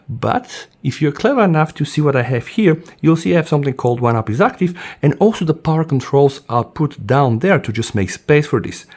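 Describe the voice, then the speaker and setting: Man, tech vlogger, enthusiastic demo, very expressive pitch. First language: Bulgarian. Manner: enthusiastic, addresses viewers as "guys", says "boom" often. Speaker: tech reviewer. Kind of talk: casual product demo.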